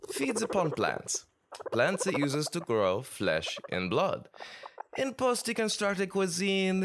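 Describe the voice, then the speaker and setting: Pompous villainous chef, wildly swooping delivery. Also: male voice, fairly high pitch, gravelly, noisy background, full character voice. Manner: pompous, theatrical, sprinkles French phrases. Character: pompous, sinister male celebrity chef, theatrically villainous. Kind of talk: comic audio sketch acting.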